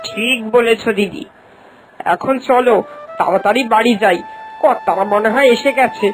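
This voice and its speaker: A woman voicing an eager young village wife who talks in a hurried, agreeable way. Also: fairly high pitch, soft background music, close to an everyday voice, rough recording.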